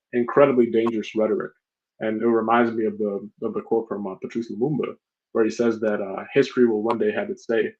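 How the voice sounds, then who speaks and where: A man's voice: fairly low pitch, a little mellow. A man from Congo who founded an organization, speaking earnestly on a live video call with many fillers and restarts. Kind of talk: live online conversation. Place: home room, map on wall behind. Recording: muffled lo-fi audio.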